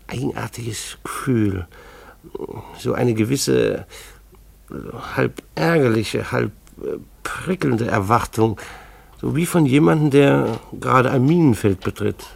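An actor playing a mildly exasperated middle-aged husband who narrates his household in a radio play, dryly ironic and deliberate. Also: medium pitch, animated, gravelly, breathy edge, heavily acted.

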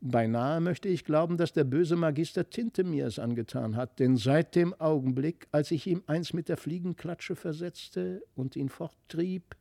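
A man voicing a weary, kindly country gentleman and father in a storybook reading, speaking gently and haltingly. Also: on the high side, gravelly, dry recording, clean studio sound. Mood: weary, uneasy.

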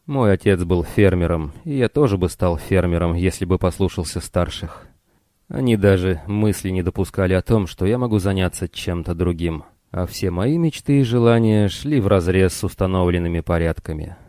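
A roguish, wry man who roams between planets, played by a male audiobook reader. His voice is low and mellow, his manner teasing, and the recording is dry. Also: normal speed, no echo, natural voice. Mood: bitter, candid. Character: wry roguish male space traveller, adult.

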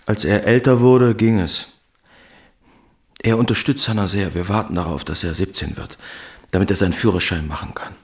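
Radio drama: weary working-class dad, middle-aged, gravelly and deep, guarded, reflective, understated. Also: male voice, moderate pace. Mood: wistful, subdued. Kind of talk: German radio drama acting, subdued realism.

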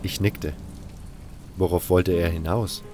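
A man's voice: low-pitched, fairly even intonation, takes it slowly, darkish tone. A man playing a wary camp member who narrates his own story in a German-language fan audio drama, with music underneath. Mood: questioning, sceptical. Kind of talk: first-person audio drama narration with dialogue.

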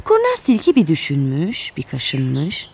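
A woman telling a children's fairy tale in expressive, scripted narration. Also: low-pitched, unhurried, vocal fry, slight background noise. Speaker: children's storyteller.

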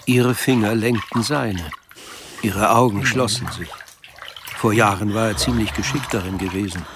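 A man's voice: on the low side, moderate pace, background noise, lightly acted. A man acting as the observant, wry narrator of a German radio drama, reading scripted literary prose. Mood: reflective.

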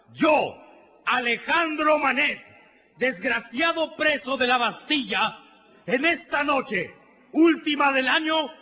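A vengeful tavern keeper in a radio play. His voice is very high and forceful, his delivery oratorical and impassioned, on a dull, slightly noisy recording. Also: heavily acted. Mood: vengeful, declaiming. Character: vengeful revolutionary tavern keeper, male accuser. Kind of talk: radio drama acting.

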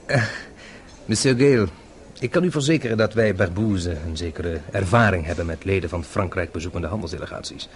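An actor playing a courteous, self-assured French intelligence officer in a Dutch radio play, speaking formally with small hesitations. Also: male voice, sits low, lightly acted. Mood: composed, confident. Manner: measured, formal, hesitates before answers. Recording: radio play with noisy background ambience.

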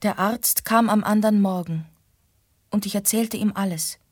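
An actress playing a reflective, guarded young woman narrating her story in a radio drama, with a husky voice. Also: medium pitch, moderate pace, dry recording, studio quality, close to an everyday voice.

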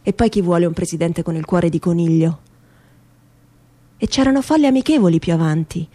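A woman giving a dramatic literary reading in measured, emphatic phrases, in the style of radio theatre. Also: on the low side, mellow. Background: music.

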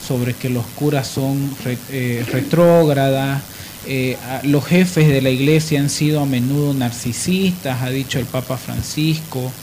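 A man hosting an opinionated radio discussion, emphatic and halting as he builds his points. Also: medium pitch, fairly even intonation, a little mellow, slight background noise, low-quality recording. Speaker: radio host.

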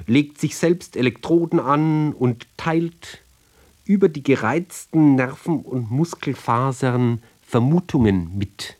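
A man giving a measured literary recitation for radio, speaking deliberately in short phrases with pauses between them. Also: medium pitch, darkish tone. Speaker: reader.

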